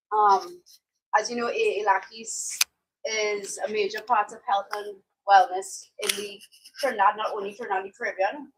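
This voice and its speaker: A woman's voice: on the high side, noisy background. A woman heading a retail division, welcoming staff to a training session in an upbeat, inviting way on a handheld mic. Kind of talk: welcome address.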